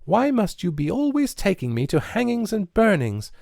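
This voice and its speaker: A man's voice: a little high, very animated, normal speed, gravelly, warm tone, dry recording, studio quality, voice pushed up. A man voicing a young tavern lass who pleads and breaks into sobs. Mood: tearful pleading.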